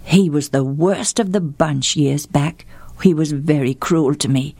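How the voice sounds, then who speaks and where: A woman voicing a weathered older chieftain in plain, steady speech. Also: deep voice, measured pace, no room sound. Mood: matter-of-fact. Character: weathered older male warrior chieftain, gruff.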